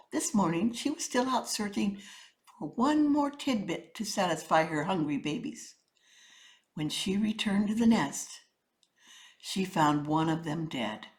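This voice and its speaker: A woman's voice: medium pitch, fairly bright tone. A woman narrating a children's story as a storyteller, with a theatrical, emphatic delivery. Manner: theatrical, emphatic with rhythmic sound words.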